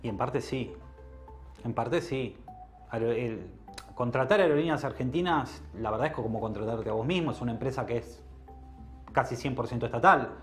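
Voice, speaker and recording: A man of average pitch answers an interview question by explaining things in a measured way. He pauses on fillers as he goes, with faint music playing in the background.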